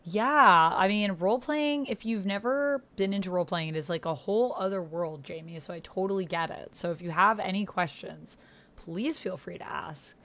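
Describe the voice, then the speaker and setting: A woman with a slightly low voice chats casually on a livestream. She is slangy and expressive, often thinking out loud as she reacts. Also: moderate pace. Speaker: YouTuber livestreaming a text roleplay game. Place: indoors at a computer.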